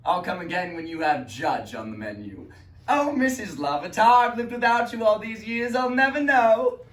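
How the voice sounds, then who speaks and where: A man playing a brooding, vengeful barber who is sly and menacing, moving from hushed threats to sudden shouts. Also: high voice, very animated, normal speed. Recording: echoey room, distant mic, faint noise.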